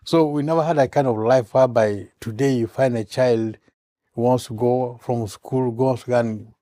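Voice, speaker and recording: A man's voice: mid-range voice, steady tone, moderate pace, dark tone, no room sound, clean studio sound. Ugandan man telling stories as a talk guest. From eastern Uganda. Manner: humorous, storytelling. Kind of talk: casual interview.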